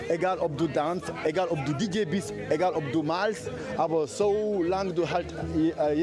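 A high-voiced man from Mauritania and Senegal, not a native German speaker, answers interview questions outdoors in a halting way. Loud music plays behind him at the event. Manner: halting, with many hesitations and restarts. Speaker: event organizer and host. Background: music playing.

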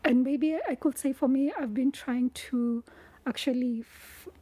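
A woman with a Kenyan accent, a researcher talking casually about her work in a soft, thoughtful way that often trails into a whisper. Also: sits high, steady tone, a little slow, dry recording. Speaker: cheetah researcher, guest. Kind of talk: casual conversation.

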